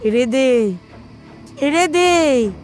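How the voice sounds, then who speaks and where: Woman acting a shrill, very high-voiced old grandmother. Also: animated, drawn-out words, a little mellow, faint music behind, full character voice. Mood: choking, pleading. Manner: shrill, scolding, agitated. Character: scolding, scornful old grandmother. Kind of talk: audio horror story acting.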